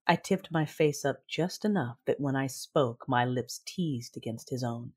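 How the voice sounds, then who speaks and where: An actress playing a proud, passionate but guarded woman warrior, narrating an audio drama in the first person in a breathy, intimate, measured way. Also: a bass voice, darkish tone, exaggerated voice. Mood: sensual, tender. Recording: music under the voice. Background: music.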